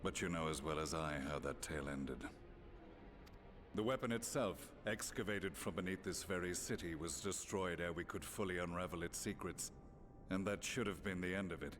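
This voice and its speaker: Game role: male actor as grave ex-commander, middle-aged, deep-voiced, measured and archaic in speech, weighed by regret. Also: close to an everyday voice. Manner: solemn, measured, archaic formal diction. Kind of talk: video game cutscene voice acting.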